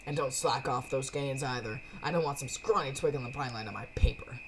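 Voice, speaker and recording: A woman's voice: rumbling low, a little fast, background noise, pitched below the performer's own voice. A woman voicing a cocky, flirty, sporty woman potion maker in a visual novel, brash and teasing. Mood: pleased, brash. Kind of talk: visual novel character dialogue. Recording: faint music behind.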